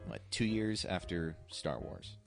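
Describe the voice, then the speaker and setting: Really low-voiced guy talking movies, slangy, kinda hesitant, faint music in back. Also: a little slow, gravelly. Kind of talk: casual chat about films.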